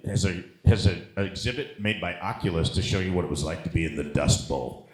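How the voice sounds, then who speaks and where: Low-voiced male comedian in an echoey room. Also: a little fast. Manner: casual, profane, laughs while telling stories. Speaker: guest stand-up comedian on a live comedy show. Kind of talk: comic storytelling, casual banter. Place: live show in front of a room audience. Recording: roomy, echoing live-show sound.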